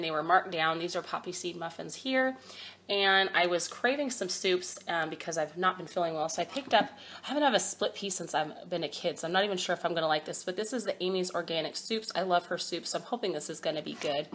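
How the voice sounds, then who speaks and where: A woman in her thirties, hoarse from a cold, chatting casually through a grocery haul at home with many ums. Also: on the low side, brisk, fairly bright tone, slight background noise. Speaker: vlogger. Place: at home, by a table.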